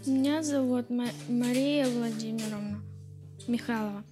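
A woman's voice: on the high side, moderate pace. A woman in her twenties giving a simple personal account as an interviewee, in hesitant, broken phrases. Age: 20s. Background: guitar music.

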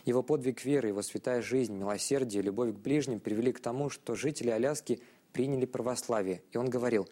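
A man presenting a scripted educational programme to viewers, speaking in a measured, formal and bookish manner. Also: medium pitch, mellow.

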